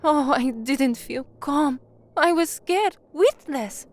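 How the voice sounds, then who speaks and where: A woman's voice: sits high, expressive, takes it slowly. An actress playing a hopeful, earnest young woman newsreader from the slums in a science fiction audio drama. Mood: tender, relieved. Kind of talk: audio drama acting, newsreading and first-person narration.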